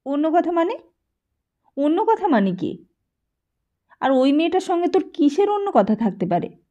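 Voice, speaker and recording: A woman's voice: very high voice, very animated, normal speed, mellow, lo-fi sound, pitched above the performer's own voice. Woman voicing a pushy, scornful teenage boy. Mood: indignant. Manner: interrogating, badgering, dismissive.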